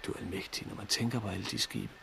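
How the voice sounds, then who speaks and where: An actor playing a practical, courteous man surviving a catastrophe in a 1960s radio drama, with measured, matter-of-fact delivery. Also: fairly low pitch, fairly even intonation, gravelly, bright, forceful tone, slight background noise, exaggerated voice. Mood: grave.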